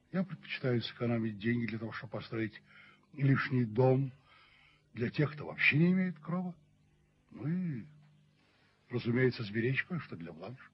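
An actor in an old radio play playing a dignified, self-righteous middle-aged landlord who speaks in measured, rhetorical phrases. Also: male voice, mid-range voice, close to an everyday voice. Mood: self-justifying, firm. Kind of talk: 1970s Soviet radio play acting. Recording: muffled old radio recording with noise.

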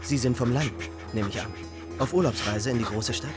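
A man's voice: mid-range voice, a little fast, close to an everyday voice. An actor playing a fashionable, boastful young man in a radio play, breezy and ingratiating. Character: Wellington Mabe, fashionable smooth-talking young boaster. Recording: music behind the voice.

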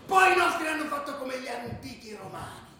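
An actor playing a fired-up football ultra, telling of a brawl in an excited, emphatic, colloquial way in a radio drama. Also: male voice, very high-pitched, a little fast, heavily acted. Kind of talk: dramatized radio narration in a choral ensemble. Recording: very echoey, faint noise.

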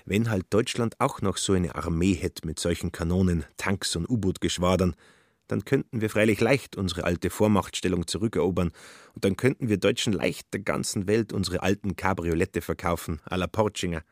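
A man's voice: sits low, moderate pace, no room sound, studio quality. A man narrating a literary radio drama.

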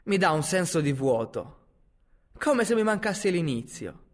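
A man playing a troubled twenty-year-old searching for his lost father in an audio drama, halting and questioning in slow monologue. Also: a little high, big pitch swings. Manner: halting, questioning, drawn-out phrases. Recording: voice over prominent music.

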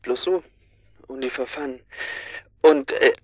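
A man's voice: medium pitch, unhurried, dry recording, lo-fi sound, lightly acted. An actor playing a chatty man on holiday in a radio drama, rambling and hesitant, anecdotal and slightly defensive. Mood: fond, anecdotal. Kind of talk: naturalistic German radio drama.

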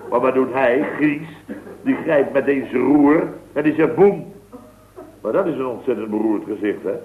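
A man's voice: mid-range voice, vocal fry, natural voice. A man playing a boastful, rambling contractor in a 1960s radio comedy. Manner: rambling, boastful, full of tag words. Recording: tinny, echoey old radio broadcast.

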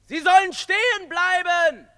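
A man's voice: very high voice, unhurried, pushed and bright, full character voice. An actor playing a curt, secretive male scientist in a German science fiction radio drama. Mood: sharp, commanding. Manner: curt, commanding, dismissive. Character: brusque, secretive male scientist guarding a lonely atoll.

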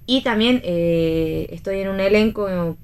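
Casting: Argentine woman, mid-pitched voice, actress-teacher talking through her ideas in a relaxed radio chat. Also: slow, clear, resonant tone. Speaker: guest, stage actress and drama teacher. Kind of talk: casual radio conversation.

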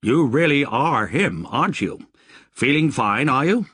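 Guy reading as a joking boston worker dude, pitched up, husky, kinda queasy. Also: very animated, unhurried, fairly bright tone, dry recording, studio quality, heavily acted. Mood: chatty. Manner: chatty, joking. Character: friendly Boston rest-stop worker, queasy, chatty.

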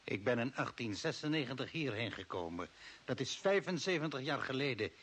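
A man's voice: medium pitch, sing-song, a little fast, fairly bright tone, husky. An actor playing a cold, authoritarian old man from Earth who serves the Martians in an older Dutch radio play, commanding and scornful. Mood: cold, firm. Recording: hissy, noisy old radio recording.

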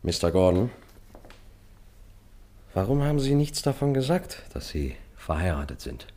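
An actor playing a stern police investigator in a German radio crime drama, questioning in a clipped, insistent way. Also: male voice, low voice, very animated, moderate pace, warm tone. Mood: dry, suspicious.

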